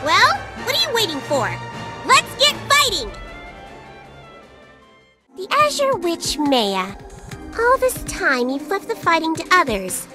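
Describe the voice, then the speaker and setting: Game fairy sidekick: very high, childlike, swooping pitch, cheeky, blunt, breezy teasing. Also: female voice, big pitch swings, normal speed. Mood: brisk, challenging. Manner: breezy, teasing, casual wording with sharp mood swings. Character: tiny cheeky female fairy companion, blunt and flippant. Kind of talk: video game cutscene voice acting. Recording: music behind the voice.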